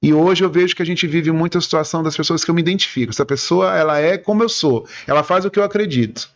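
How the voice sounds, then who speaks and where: A man's voice: a little high, fast talker, lo-fi sound. A man who works in advertising and entertainment, talking earnestly and at length in a radio interview, often restarting his sentences. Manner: earnest, explanatory, rambling with restarts. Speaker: guest, advertising and entertainment businessman.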